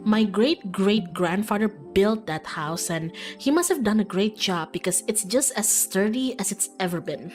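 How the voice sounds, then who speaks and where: A woman's voice: mid-range voice, measured pace, hardly any pauses, soft background music, natural voice. A woman voicing a friendly, earnest, folksy young small-town monster hunter in a visual novel. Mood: fond, reminiscing. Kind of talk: visual novel character read aloud.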